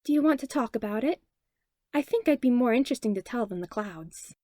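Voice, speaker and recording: Lady reading as a soft-spoken caring mom, kinda high voice, dry close sound. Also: expressive, a little fast, dry recording. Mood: gentle. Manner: gentle, soothing. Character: warm protective mother, adult woman.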